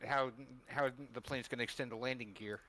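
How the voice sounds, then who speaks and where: Male panelist, medium-pitched, deadpan, easy conversational talk. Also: fairly quick, poor audio. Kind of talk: casual chat.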